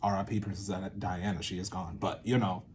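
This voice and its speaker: A man hosting a casual vlog, thinking aloud in an informal, rambling way with sudden drawn-out, emphatic words. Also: low-pitched, little pitch movement, background noise. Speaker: video series host, theatre maker. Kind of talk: casual unscripted creative-process talk, thinking aloud.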